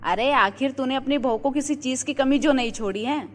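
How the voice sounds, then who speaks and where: In a long, echoing reverb, a woman plays a high-voiced neighbourhood housewife from a cartoon moral tale. She is gossipy and effusive, laying on the flattery. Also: normal speed, lightly acted. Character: gushing, flattering neighbourhood housewife, friend of the mother-in-law. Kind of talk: Hindi moral-story cartoon acting.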